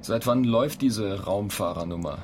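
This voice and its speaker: A man's voice: fairly low pitch, normal speed, slight background noise, lightly acted. A man playing an irritable, weary space traveller who grumbles impatiently in a radio play. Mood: annoyed. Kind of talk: German radio play dialogue.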